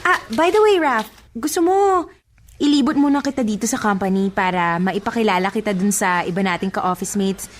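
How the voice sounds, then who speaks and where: A woman's voice: medium pitch, moderate pace, natural voice. A woman playing a sassy, teasing young office best friend in a radio drama, chatty, coy and mock-scolding. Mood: coy, competitive.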